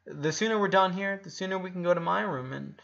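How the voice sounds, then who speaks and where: A man voicing a shy, embarrassed eleven-year-old boy in a story reading, halting and flustered. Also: high voice, creaky, fairly bright tone, dull audio, voice pushed up. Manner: halting, nervous.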